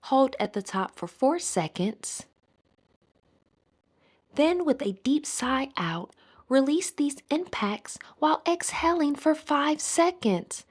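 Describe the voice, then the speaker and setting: A woman leading a scripted guided meditation in a soothing, measured manner. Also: high-pitched, animated.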